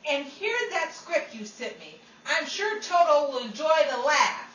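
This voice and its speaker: A woman's voice: high-pitched, very animated, measured pace, fairly bright tone, heavily acted. A woman playing an indignant, theatrical actress in old-time radio style. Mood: scornful, dramatic. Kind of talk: 1940s radio acting. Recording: roomy, distant mic, noisy home recording.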